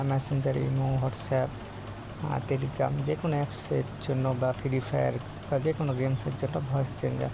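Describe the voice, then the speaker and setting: A Bangladeshi man presents an informal phone-recorded tutorial in a monotone, heavily creaky voice. He speaks haltingly at an average pitch, and there is clear noise behind him. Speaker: vlogger demonstrating an app. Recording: noisy phone recording, steady background noise.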